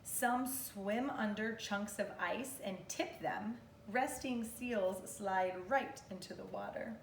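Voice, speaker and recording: A woman in her thirties, a kindergarten teacher reading aloud to young children in an expressive, instructive way and asking questions, recorded at home from a few metres away. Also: mid-range voice, slow, creaky. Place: living room at home, indoors. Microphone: camera or phone a few metres away. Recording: roomy, distant mic, faint noise.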